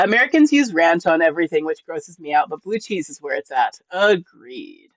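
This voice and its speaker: A man from California and New Mexico chatting on a live stream while reading chat, halting with many restarts and frequent laughs. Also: high-pitched, no room sound, rough recording. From born in California, raised in New Mexico. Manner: laughs often, easygoing.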